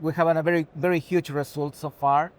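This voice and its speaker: A man with a Chilean Spanish accent and a fairly high voice speaks as an executive being interviewed. His English is a second language, with halting, non-native phrasing as he works through unscripted answers. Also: little pitch movement.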